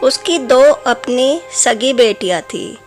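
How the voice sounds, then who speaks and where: A woman reads a children's fairy tale in a high voice. She takes her time over each phrase, in a storyteller's scripted style, with music playing behind her. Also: animated, slow pace.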